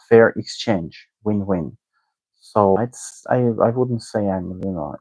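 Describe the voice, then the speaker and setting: A man whose native language is Armenian, giving casual commentary as a discussion host in a hesitant, halting way, often checking in with the listener. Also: on the low side, darkish tone, no echo, poor audio. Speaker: online teacher and discussion host. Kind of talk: casual spoken commentary on a book.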